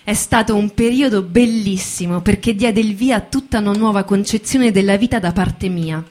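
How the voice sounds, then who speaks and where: Woman voicing ex-factory worker, medium pitch, first-person testimony, stage reading, reminiscing. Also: moderate pace, darkish tone, close to an everyday voice. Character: male former car factory worker recalling his youth.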